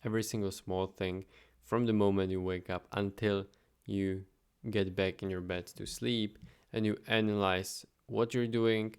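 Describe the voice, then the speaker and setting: A young man casually talking through his daily business update while reading viewer questions, chatty and relaxed, thinking aloud. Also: lowish voice, unhurried, hardly any pauses, clear, resonant tone. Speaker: automation agency owner vlogging. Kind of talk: casual screen-share vlog.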